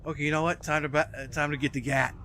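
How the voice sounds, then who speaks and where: A man's voice: fairly high pitch, little pitch movement, fast, bright, forceful tone, a little hiss or hum. A man in his forties doing casual live gameplay commentary while chatting with viewers, swearing freely. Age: 40s. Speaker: video game streamer.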